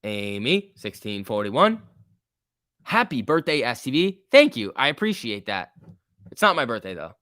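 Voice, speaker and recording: A man's voice: mid-range voice, sing-song, moderate pace, sharp tone, no echo, clean studio sound. A man in his early twenties hosting a casual trading livestream, chatting informally and joking as he reads and answers viewer comments. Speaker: livestream host.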